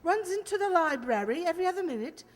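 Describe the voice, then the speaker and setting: A woman's voice: very high voice, animated, fast talker. A woman playing a hearty, exclamatory upper-class lady in an amateur radio play. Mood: brisk, bossy. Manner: grand, exclamatory society talk. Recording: reverberant hall-like radio play recording.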